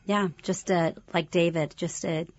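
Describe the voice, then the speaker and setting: A Californian woman telling how she met her husband, soft and a little hesitant. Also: lowish voice, steady tone, a little slow, dry recording. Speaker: interview guest, pastor's wife. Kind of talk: personal storytelling. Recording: muffled, dry radio studio sound.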